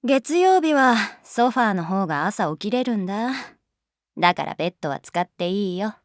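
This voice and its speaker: A woman's voice: mid-range voice, big pitch swings, normal speed. An actress playing an easygoing, teasing woman teacher in a Japanese voice drama, speaking casually and bluntly. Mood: calm, caring. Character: easygoing, teasing adult woman teacher who loves beer.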